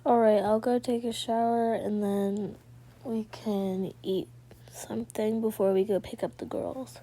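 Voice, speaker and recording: Slow, soft-spoken woman, mellow and half-whispering. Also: mid-range voice, flat delivery. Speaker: gaming video creator voicing her own gameplay. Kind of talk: casual low-key roleplay narration of gameplay.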